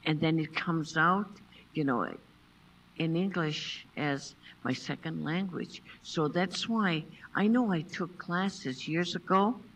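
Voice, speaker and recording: An older woman who grew up speaking Passamaquoddy first, telling stories at a seminar and pausing to find her English words. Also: deep voice, a bit bright, slight background noise. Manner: unhurried, pauses to find English words, laughs often. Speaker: traditional basket maker, seminar guest. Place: lecture room, seated at a table with a panel. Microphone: far room camera. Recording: distant voice in a lecture room.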